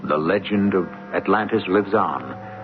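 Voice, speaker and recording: A man playing a solemn, ominous mystery host, narrating a radio drama with portentous, deliberate phrasing and dramatic pauses. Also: deep voice. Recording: dull vintage radio, music underneath. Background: dramatic music.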